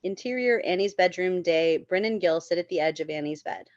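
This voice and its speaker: A woman's voice: fairly low pitch, brisk, no room sound. Woman, scripted narration, table read, stage directions, dry close sound, lo-fi recording.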